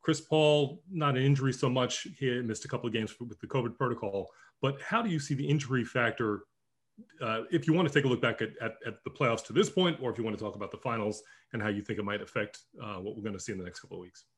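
A man co-hosts a sports podcast in a husky voice of middling pitch. He talks casually and keeps stumbling over his words and starting his sentences again. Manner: halting, many restarts. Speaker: podcast co-host.

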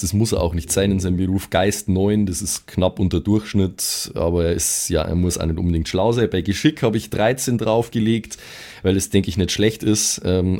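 Low-pitched man chatting about his character. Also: dark tone. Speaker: tabletop role-playing player. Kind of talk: casual chat, presenting a game character.

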